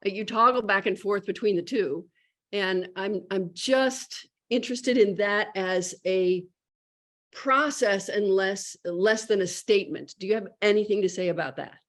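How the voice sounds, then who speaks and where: A woman's voice: medium pitch, a little slow, fairly bright tone. A woman joining an online artist talk to ask a question, hesitant and searching for her words. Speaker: audience participant. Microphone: video call.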